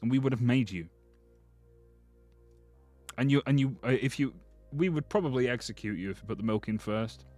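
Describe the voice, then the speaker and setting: A man with a slightly hoarse voice, rambling and joking freely as he chats through a livestream. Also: medium pitch, expressive, moderate pace, pauses often, a little mellow, soft background music. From Britain. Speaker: streamer. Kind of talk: casual livestream commentary.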